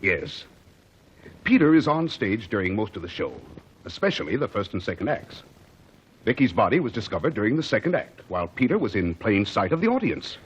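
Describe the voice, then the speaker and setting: An earnest, persuasive theater producer. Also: male voice, on the low side, sing-song, brisk. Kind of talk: 1940s radio acting. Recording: dull old radio broadcast, faint hiss.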